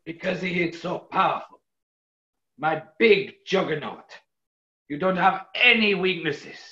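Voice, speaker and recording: A woman's voice: lowish voice, animated, a little slow, sharp tone. A woman playing a gangster's adoring, scornful moll with gushing melodrama in a radio play. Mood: fawning, sly. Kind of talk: 1940s-style radio play acting. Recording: roomy, muffled online audio.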